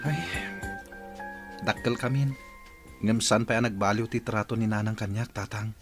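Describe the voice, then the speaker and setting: A man's voice: on the low side, steady tone, normal speed, over music, close to an everyday voice. A man playing a dutiful, hurt young student son in an audio drama, respectful and plaintive.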